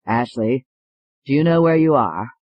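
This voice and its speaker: A narrator voicing a calm male psychiatrist with a slow, soothing manner in an audiobook. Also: mid-range voice, no echo, lo-fi sound. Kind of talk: audiobook character voice.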